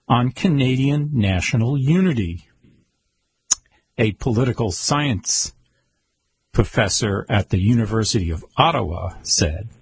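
A man reading world news aloud at a slow, halting pace, stumbling over words and correcting himself. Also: mid-range voice, breathy edge. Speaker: news reader.

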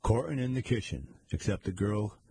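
A man voicing a skeptical woman friend with a dry wit, in a husky voice. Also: fairly low pitch, expressive, normal speed, mellow, dry recording, heavily acted.

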